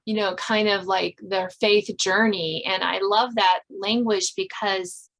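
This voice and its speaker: A woman's voice: medium pitch, steady tone, measured pace, gravelly, fairly bright tone. A woman hosting a podcast, talking with guests in a conversational interview style. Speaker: podcast host.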